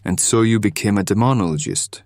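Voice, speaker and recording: An actor playing a calm, caring old friend in an audio drama, conversational and reassuring. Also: male voice, on the low side, fairly quick, no room sound, clean studio sound, close to an everyday voice.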